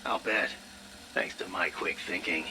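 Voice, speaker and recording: An actor playing a wry, brainy, self-satisfied colleague in a game audio drama, with a wordy way of talking. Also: male voice, sits low, a little slow. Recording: noisy ambience behind voice.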